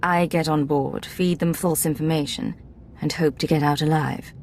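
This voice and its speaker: A woman's voice: low-pitched, steady tone, moderate pace, darkish tone, a little hiss or hum. A woman playing a cool, guarded secret agent in a video game, speaking in a controlled, dry way. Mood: wary, controlled. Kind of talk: video game dramatic acting.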